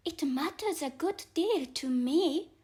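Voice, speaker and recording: An earnest little storybook girl, voiced by a woman, speaks high and unhurriedly. She is polite and truthful, puzzled and a touch hesitant. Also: animated, slow, darkish tone. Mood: earnest protest. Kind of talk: storybook character voice.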